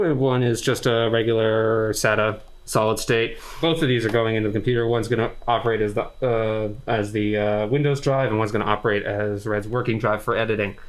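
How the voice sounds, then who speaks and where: A man casually explaining computer parts to a friend during a home build, relaxed and chuckling as he goes. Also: mid-range voice. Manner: laid-back, chuckles while listing parts.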